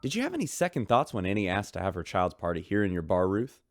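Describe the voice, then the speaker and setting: An upbeat, chatty radio host on a starship. His voice is low but lively in pitch. The recording is dry and close. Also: fast, no room sound.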